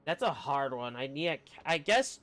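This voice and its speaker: A man chatting casually on a game livestream, rambling and halting as he talks. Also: mid-range voice, big pitch swings, a bit bright. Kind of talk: casual chat while streaming a game. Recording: game music behind the voice. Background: video game music.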